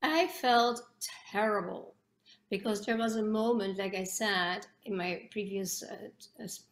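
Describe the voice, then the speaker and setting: A woman's voice: mid-range voice, measured pace, slight background noise. A middle-aged Dutch woman with a Dutch accent, talking earnestly as a guest on a video call interview, with many restarts and fillers. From Netherlands. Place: home room with bookshelves. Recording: echoey room on a video call.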